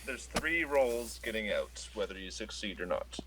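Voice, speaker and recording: A man's voice: fairly low pitch, expressive, moderate pace, noisy background. A man acting as game master, talking players through a tabletop role-playing game.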